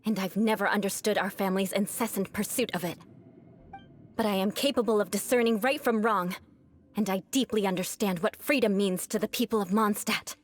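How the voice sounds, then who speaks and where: A woman voicing a proud young noblewoman knight in a video game, composed and defiant, with formal, measured, coolly disdainful delivery. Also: medium pitch, lightly acted. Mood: firm reproach.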